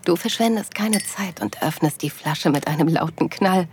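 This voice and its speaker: Actress as loving wife, low soft voice, breathy half-whispers, intimate audio drama narration, ambient noise behind. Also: female voice, moderate pace, close to an everyday voice. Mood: tender. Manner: soft, breathy delivery, often dropping to a whisper. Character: loving wife, happily moved, narrating her anniversary trip tenderly.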